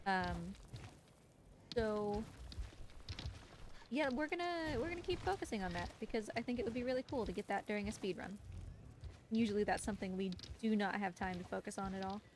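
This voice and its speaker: A woman's voice: mid-range voice, a little hiss or hum. A woman giving casual, chatty live commentary as she speedruns a video game, explaining things with a playful touch. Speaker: speedrunner commentating own playthrough. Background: game music behind the voice.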